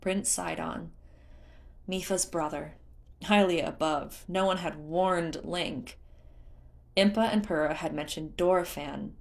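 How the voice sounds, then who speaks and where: Woman narrating a story, lowish voice. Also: fairly even intonation, takes it slowly, creaky. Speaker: fan fiction narrator. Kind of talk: expressive scripted narration.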